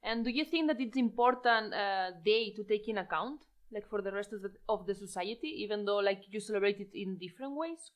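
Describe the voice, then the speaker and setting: A woman's voice: medium pitch, fairly quick, hardly any pauses. A woman hosting a podcast, introducing the topic and asking her guests questions with light hesitations. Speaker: podcast host.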